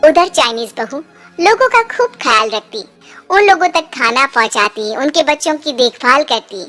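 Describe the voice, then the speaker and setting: Very high, bright woman storyteller. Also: animated, moderate pace, faint music behind. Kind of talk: scripted cartoon moral story narration.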